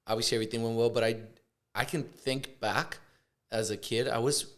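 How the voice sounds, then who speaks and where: A man from Puerto Rico, a chiropractor, chatting casually in an interview, relaxed and hesitant with many fillers. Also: medium pitch, a little fast. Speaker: chiropractor, guest.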